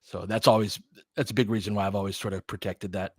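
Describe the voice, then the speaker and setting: A man's voice: on the low side, little pitch movement, quick pace, breathy edge, dry recording, studio quality. A man doing casual live basketball commentary as a livestream host, talking informally and directly to his viewers.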